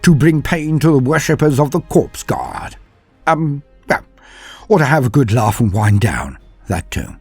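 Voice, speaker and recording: A man's voice: medium pitch, huge pitch swings, a little slow, darkish tone, husky, no room sound, faint music behind. A man narrating scripted lore in a grandiose, theatrical storytelling manner. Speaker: narrator and presenter of a lore channel.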